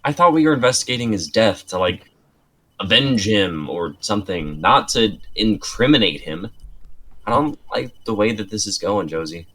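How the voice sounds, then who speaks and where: Man acting low, lively, skeptical sidekick. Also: normal speed. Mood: defensive. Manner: dry, protesting, colloquial. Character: skeptical, reluctant male sidekick of amateur sleuth. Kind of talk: amateur dramatic script reading.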